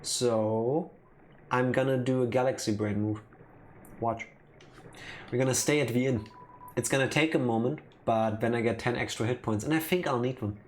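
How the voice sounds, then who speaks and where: A man's voice: mid-range voice, normal speed, long pauses, a little hiss or hum. A man giving casual commentary while playing a game on a live stream. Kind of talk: casual let's play commentary. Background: game music.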